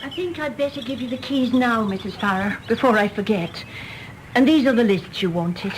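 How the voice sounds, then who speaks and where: An actress playing a prim, reserved governess in a radio drama, formal and precise. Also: female voice, fairly high pitch, moderate pace, slight background noise, lightly acted, low-quality recording. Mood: brisk, dutiful.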